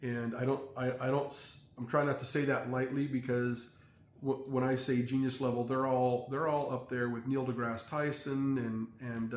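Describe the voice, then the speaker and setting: A middle-aged man rambles casually to the camera, stopping and restarting often around his fillers. His voice is gravelly and stays level in tone. The sound is echoey, with faint ambience behind it. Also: medium pitch, slight background noise. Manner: halting, many fillers and restarts. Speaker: vlogger.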